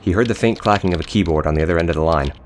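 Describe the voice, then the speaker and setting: Fast, deep-voiced male narrator. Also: a little hiss or hum. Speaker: audiobook narrator. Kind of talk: scripted dramatic narration.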